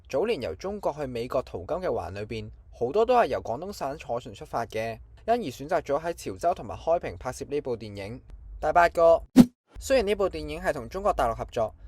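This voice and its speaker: A man's voice: on the high side, a little mellow, dry recording. A man with a Hong Kong Cantonese accent presents scripted film trivia as an online channel host.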